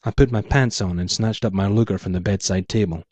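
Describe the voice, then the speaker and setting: A man's voice: fairly low pitch, fairly quick, a little mellow, no echo. A man reading his own noir short story aloud as scripted narration. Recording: dull muffled lo-fi audio.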